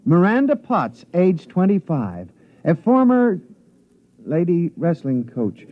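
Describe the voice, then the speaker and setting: A man's voice: a little high, wildly swooping pitch, slow, full character voice. An actor in a 1950s radio drama playing a formal, precise male miner, on an old radio recording. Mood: dry formal. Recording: dull old radio broadcast.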